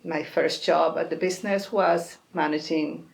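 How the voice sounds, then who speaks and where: Gravelly woman from Colombia. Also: deep voice, steady tone. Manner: measured, thoughtful explanations with frequent self-corrections. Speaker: portfolio manager. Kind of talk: interview answers.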